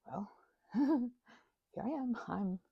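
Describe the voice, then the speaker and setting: A woman playing a timid, gentle vampire in an audio roleplay, stammering and hesitant in a soft, breathy voice. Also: on the high side, expressive, extremely slow, no echo, highs cut off, exaggerated voice. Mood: shy, anxious. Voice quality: soft, breathy, sometimes dropping to a whisper. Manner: nervous stammering, hesitant, apologetic, breaking into nervous giggles. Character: timid, grateful, gentle female vampire, shy and friendly. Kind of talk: audio roleplay acting, intimate one-sided conversation.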